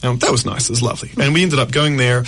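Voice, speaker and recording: A man's voice: mid-range voice, fast, fairly bright tone. A man in his thirties, a guest musician on a radio show, telling rambling stories and laughing often. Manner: rambling storyteller, laughs often, quotes others. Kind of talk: casual radio interview.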